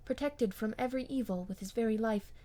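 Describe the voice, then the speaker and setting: A woman reading a classic novel aloud as an amateur audiobook narrator, with expressive scripted delivery. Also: on the high side, fast talker.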